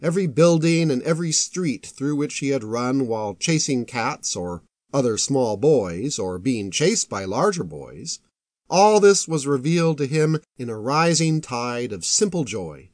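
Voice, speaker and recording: A man reading an epic fantasy story aloud as a scripted audiobook narration, in a measured, expressive literary manner. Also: medium pitch, dry recording, studio quality.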